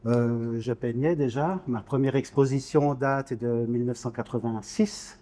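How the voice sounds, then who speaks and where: A man in his sixties from Switzerland, talking as a guest in an informal interview. His voice is mellow and medium-pitched, and he hesitates, repeating little words while he looks for the next one. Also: steady tone, measured pace. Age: 60s. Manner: hesitant, word-searching, repeats small words. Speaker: guest, painter.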